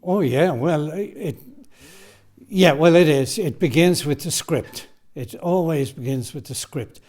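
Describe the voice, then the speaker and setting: A man's voice: a little high, measured pace, a little mellow. A man, a radio drama director, answering interview questions earnestly in a recording studio.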